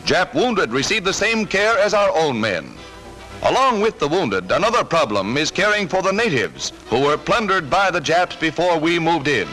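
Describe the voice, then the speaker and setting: A man's voice: a little high, big pitch swings, normal speed, low-quality recording. A man narrating a 1940s wartime newsreel in a punchy, emphatic delivery. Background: loud orchestral music.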